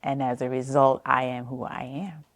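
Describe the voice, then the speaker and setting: Low-voiced New woman, unhurried. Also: steady tone, dry recording. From New Jersey. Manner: gentle and unhurried, with frequent "um" and soft trailing phrases. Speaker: oral history interviewee. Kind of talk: reflective personal storytelling.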